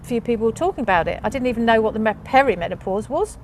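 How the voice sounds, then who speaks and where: A woman earnestly talking about her experience, with some halting restarts. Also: a little high, lively intonation, slight background noise. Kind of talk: personal testimony.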